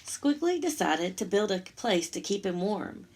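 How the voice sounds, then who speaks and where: A woman reading a picture book aloud to young children, with expressive, simple asides as she goes. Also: mid-range voice, a little slow, a little hiss or hum. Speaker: storytime reader for young children.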